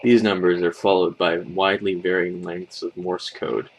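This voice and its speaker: A man's voice: sits low, little pitch movement, moderate pace, poor audio. A man reading a scripted creepypasta as a horror story narrator.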